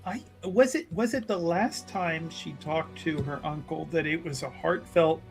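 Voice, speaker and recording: A woman's voice: low-pitched, unhurried, soft background music. A woman chatting casually and jokily during a tabletop roleplaying game, chiming in with comments. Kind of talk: casual chat.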